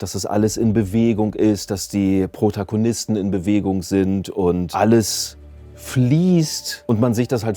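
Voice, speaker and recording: A man's voice: fairly low pitch, dark tone. A man, explaining his work as a sound designer in a casual interview. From Germany. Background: music.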